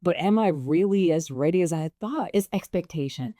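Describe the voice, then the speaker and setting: A woman with a relatively deep voice, a guest actress telling stories in an animated, laughing way. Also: moderate pace, dry recording, studio quality. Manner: animated, laughs often, voice jumps up when excited. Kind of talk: casual interview storytelling.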